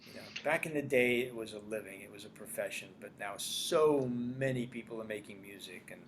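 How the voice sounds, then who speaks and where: A man's voice: lowish voice, a little fast, slight background noise. Chatty older man raised in Australia. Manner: chatty, animated, repeats phrases. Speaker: guest, screenwriter and producer. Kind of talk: casual interview chat.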